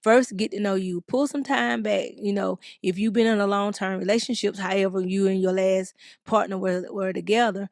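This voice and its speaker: A woman in her forties shares advice on a podcast, her voice slightly gravelly and recorded close and dry. She talks in a chatty, meandering way, stringing thoughts together with lots of "you know." Her pitch sits mid-range. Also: steady tone, no echo. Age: 40s. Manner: chatty, drawn-out phrasing with many "you know"s. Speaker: podcast co-host. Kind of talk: casual advice chat, reading a listener letter.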